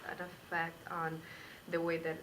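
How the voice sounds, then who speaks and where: A woman's voice: on the low side, completely flat delivery, a little slow. A woman from Colombia in her thirties, a graduate student, reflecting personally with frequent restarts, recorded in an indoor lounge with audible hiss. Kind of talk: personal interview answer.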